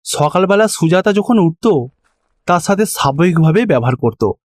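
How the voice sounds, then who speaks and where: A man with a Bengali accent narrating a scripted cartoon story in an expressive storytelling manner. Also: sits high, normal speed, dry recording.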